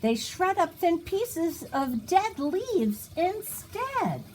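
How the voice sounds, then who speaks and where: A woman reading a rhyming picture book aloud to young children in an expressive, sing-song way, pausing for little asides. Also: very high-pitched, a little hiss or hum. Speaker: children's storybook reader.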